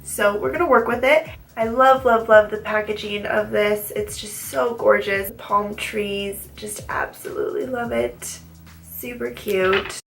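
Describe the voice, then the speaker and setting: A young woman chatting through a makeup tutorial with a head cold, sniffly and a little raspy, rambling and repeating herself. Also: medium pitch, fairly even intonation, a little slow, music in the background. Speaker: beauty vlogger. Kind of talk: casual makeup tutorial chat.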